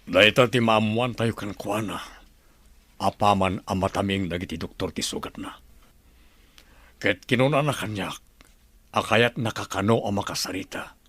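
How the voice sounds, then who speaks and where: Stern ilocano governor in a filipino radio drama, low husky voice, demanding. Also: male voice, normal speed, long pauses, a bit bright, dry recording. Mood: grave.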